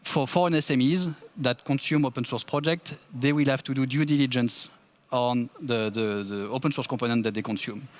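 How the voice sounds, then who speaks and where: A man's voice: mid-range voice, fairly even intonation, moderate pace. A man presenting at a tech conference, often restarting and repeating words. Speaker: conference speaker.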